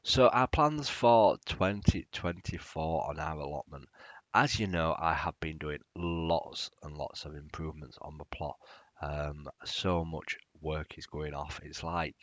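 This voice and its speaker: A man's voice: very deep voice, unhurried, fairly bright tone, no room sound, muffled recording. A man hosting a podcast, talking in a soothing, casual and rambling way. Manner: chatty, rambling, with fillers. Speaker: podcast host.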